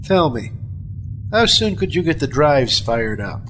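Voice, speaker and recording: A man's voice: fairly high pitch, big pitch swings, a little slow, slight background noise. A man playing a wry spaceship pilot in a science fiction audio drama, with informal talk and clipped commands. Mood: uneasy, wry. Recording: loud music behind the voice.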